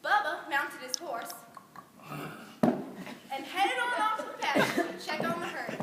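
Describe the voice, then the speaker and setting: A woman's voice: extremely high pitch, expressive, unhurried. A woman narrating a scripted storybook tale in amateur reader's theater. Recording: very noisy echoey room, distant microphone.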